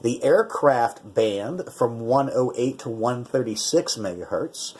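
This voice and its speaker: A man with a mid-pitched voice talks through a product demonstration in a casual, conversational way. He explains features step by step as a reviewer would, stopping to correct himself along the way. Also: lively intonation, slow pace, darkish tone, slightly rough. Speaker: radio reviewer.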